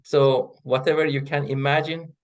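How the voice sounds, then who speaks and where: A middle-aged man whose first language is Persian speaks in English as an interview guest. He answers in measured steps, stopping often on fillers and starting words over before finishing a thought. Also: medium pitch, little pitch movement. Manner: hesitant, many fillers and restarts. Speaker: guest, associate professor of linguistics.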